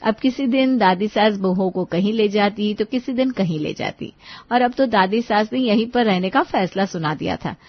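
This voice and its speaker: A woman's voice: mid-range voice, normal speed, no room sound, highs cut off. A woman narrator telling a scripted cartoon family story.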